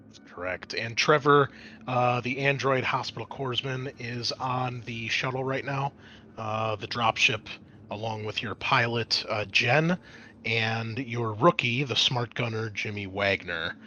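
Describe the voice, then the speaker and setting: A man's voice: medium pitch, unhurried, pushed and bright, dull audio, slight background noise. A man running a tabletop game as game master, narrating in a casual way with some hesitant phrasing.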